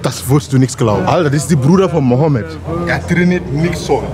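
A man's voice: on the high side, animated, a little fast, over music. A Gambian man with a West African accent in non-native German, chatting in a jokey, boastful, rambling way as he hosts a vlog. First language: not German. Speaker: vlog host, bodybuilder. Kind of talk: casual, rambling vlog chat. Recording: noisy car and street ambience.

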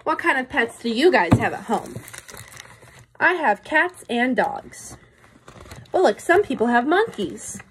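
A woman's voice: a little high, sing-song, a little slow, pauses often, a bit bright, a little hiss or hum, rough recording. A young adult woman reading a picture book to children as a teacher would, with explanatory asides and questions to her listeners. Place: small room indoors.

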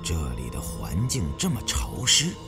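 A man narrating a suspense novel in the first person with expressive, dramatic storytelling. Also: low-pitched, moderate pace, music in the background. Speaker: audiobook narrator.

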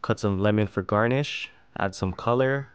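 Smooth low-voiced man explaining sashimi. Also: moderate pace, dry recording, dull audio. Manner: steady, explaining step by step. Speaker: fishing and cooking channel host. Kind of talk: calm instructional voice-over.

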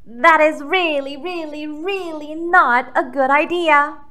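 A woman voicing a small, alarmed baby chick in a children's storybook, loud, insistent and emphatic. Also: high-pitched, slow pace, pitched above the performer's own voice, exaggerated voice. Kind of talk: children's storybook read-aloud.

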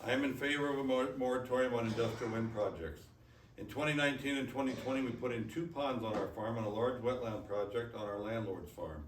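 A man's voice: mid-range voice, fairly quick. Man reading aloud in an echoey room. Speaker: speaker reading written statements at a public hearing. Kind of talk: reading prepared statements aloud at a public meeting. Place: indoor public hearing room. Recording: echoey meeting room, distant mic, faint noise.

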